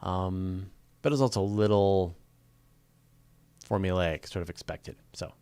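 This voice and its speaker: A man with a fairly low voice talks in a casual, explanatory way, like a host taking live questions. He thinks aloud and leans on key points. The sound is dry, with very little room in it. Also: fairly even intonation, measured pace, darkish tone, clean tone, dry recording. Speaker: host of a live space and astronomy Q&A.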